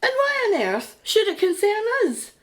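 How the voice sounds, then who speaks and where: Exasperated British wife, high-pitched. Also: female voice, wildly swooping pitch, normal speed, exaggerated voice. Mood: incredulous, exasperated. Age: middle-aged. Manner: sarcastic, exasperated. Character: exasperated, sarcastic middle-aged wife, practical and sceptical. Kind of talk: radio play acting.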